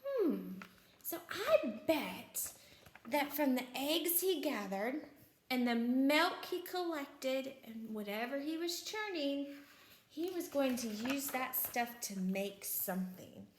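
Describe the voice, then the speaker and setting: A woman's voice: high voice, very animated, slow, a little hiss or hum. A woman reading a picture book aloud as a teacher, with simple explanatory asides and questions for young children, in an echoey room.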